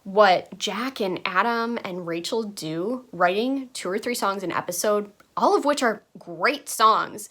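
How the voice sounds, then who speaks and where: A woman's voice: mid-range voice, unhurried, hardly any pauses, bright, forceful tone. A woman fan talking enthusiastically about a favorite show, laughing often. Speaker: fan sharing testimony. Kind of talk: casual fan testimonial.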